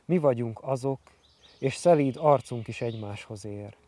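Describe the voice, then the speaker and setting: A man's voice: mid-range voice, normal speed, mellow, no room sound. A man reciting poetry.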